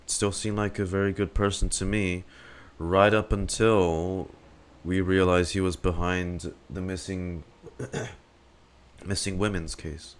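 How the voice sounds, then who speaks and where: A man's voice: low-pitched, little pitch movement, slow pace. A man giving casual live stream commentary on a game, rambling and thinking aloud. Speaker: streamer reacting to a video game.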